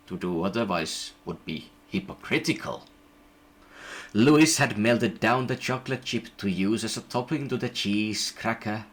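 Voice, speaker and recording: A man reading an illustrated storybook aloud in an even, gentle narration. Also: mid-range voice.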